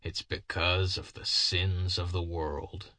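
Guy doing a weak-headed ragged preacher man, earnest biblical pleading, low and slow. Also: flat delivery, bright, forceful tone, no room sound, clean studio sound, exaggerated voice. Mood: fervent warning. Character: haggard simple-minded man, fervent doom preacher.

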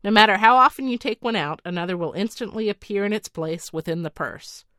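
Woman reads an easygoing magic bedstead servant, relaxed and casual, fairy-tale audiobook. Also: medium pitch, a little fast, dry recording, studio quality. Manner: easygoing, offhand. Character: calm magical man, slave of a bedstead.

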